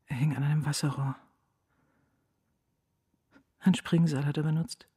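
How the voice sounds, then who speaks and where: An actress playing a middle-aged grieving mother in a radio drama monologue, speaking plainly and haltingly with restraint and a husky voice. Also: low-pitched, fairly even intonation, mellow, no echo, clean studio sound, exaggerated voice. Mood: restrained grief. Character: grieving, quiet mother recounting her son's death.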